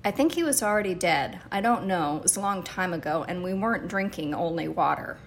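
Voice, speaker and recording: A woman with a slightly low voice reads nonfiction aloud as a podcast host. The recording carries a faint rumbling noise beneath her narration. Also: expressive, fairly quick. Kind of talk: reading a true-story book excerpt aloud.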